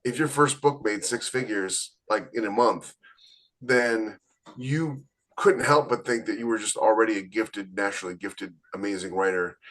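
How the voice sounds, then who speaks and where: A man's voice: fairly low pitch, moderate pace, a bit bright. A man co-hosting a podcast, an author talking candidly and reflectively about writing. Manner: candid, reflective, self-deprecating. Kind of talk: casual podcast discussion.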